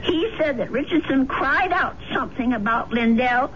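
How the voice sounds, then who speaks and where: An earnest older landlady in a radio mystery, conscientious and insistent. Her voice runs a little high and swings expressively with worry. The old broadcast recording sounds muffled. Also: expressive, moderate pace, a bit bright. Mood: agitated, insistent. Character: anxious, conscientious older landlady turned amateur sleuth. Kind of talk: radio drama acting. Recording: dull, noisy vintage radio broadcast recording.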